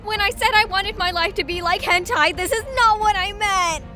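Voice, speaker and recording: A woman's voice: very high voice, normal speed, pushed and bright, faint music behind, voice pushed up. A woman voicing a brash fantasy game heroine who screams melodramatic complaints in an audiobook. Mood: outraged.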